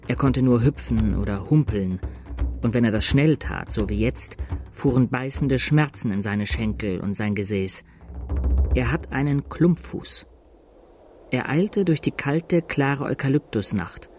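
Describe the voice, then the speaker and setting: A male narrator tells a chronicle in a radio drama. His voice sits at a medium pitch and keeps a measured, suspenseful delivery, with music clearly playing behind it. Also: lively intonation, a little mellow. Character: dramatic male storyteller reading a newspaper chronicle.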